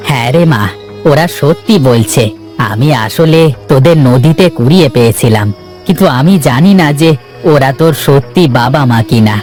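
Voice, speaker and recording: A man voicing a humble, caring boatman and adoptive father in an animated moral story, speaking earnestly and with feeling. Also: mid-range voice, moderate pace, soft background music, natural voice. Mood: confessing, tender.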